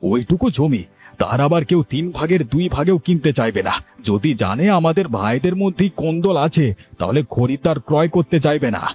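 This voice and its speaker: A man's voice: fairly high pitch, lively intonation, a little fast, natural voice. Man acting stern elder brother, dull audio. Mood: annoyed. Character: scheming, stern elder brother, a village farmer. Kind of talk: Bengali cartoon story dubbing. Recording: dull lo-fi audio, music bed.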